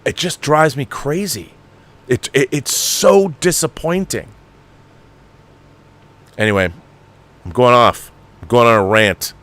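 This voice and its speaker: A man's voice: mid-range voice, takes it slowly, pauses often, a bit bright. A man delivering an unscripted film rant as a show host, emphatic, exasperated and profane, repeating phrases for emphasis. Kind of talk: ranting film commentary, unscripted.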